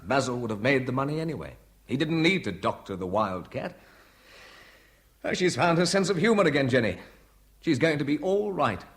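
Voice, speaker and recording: An actor playing a wry, affectionate husband in a radio play, speaking tenderly and reflectively. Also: male voice, medium pitch, expressive, normal speed, long pauses, lightly acted. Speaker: radio drama lead. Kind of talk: 1970s BBC radio play acting.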